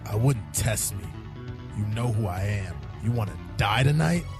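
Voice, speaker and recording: A man playing a world-weary, cynical police detective in a fan-made sci-fi noir audio drama, terse and deadpan. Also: on the low side, normal speed, over music. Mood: threatening. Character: world-weary hard-boiled male police detective in space.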